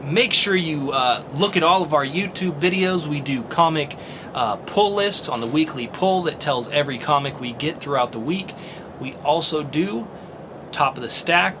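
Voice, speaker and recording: Male podcast host, casual and profane, voice a bit high, noticeable noise under the recording. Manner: thinks aloud, swears casually.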